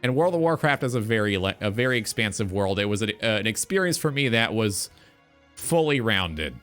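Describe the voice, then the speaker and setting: A man in his twenties hosting a talk show, speaking in an earnest, philosophical, halting monologue. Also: fairly low pitch, very animated, a bit bright, clear, resonant tone, faint music behind. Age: 20s. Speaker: talk show host, game streamer.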